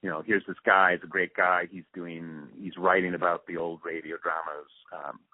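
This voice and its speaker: Deep husky older guy on the phone, telling stories casually in an interview, dry narrowband sound. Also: level tone, normal speed, no room sound. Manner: conversational, anecdotal, explanatory. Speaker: interview guest, radio drama producer. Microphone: phone call. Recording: tinny narrowband phone line.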